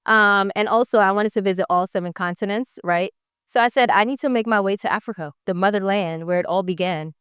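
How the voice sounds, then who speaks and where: A woman from Jamaica, a young entrepreneur, talking in a relaxed, upbeat interview and often asking "right?" as she makes her point. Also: medium pitch, brisk, clear, resonant tone, dry recording, clean studio sound. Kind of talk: casual interview.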